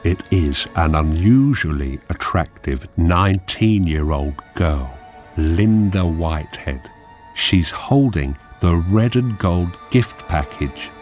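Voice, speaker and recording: A man narrating a radio drama, reading screenplay directions in a measured, deliberate manner. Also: low voice, lively intonation, faint music behind.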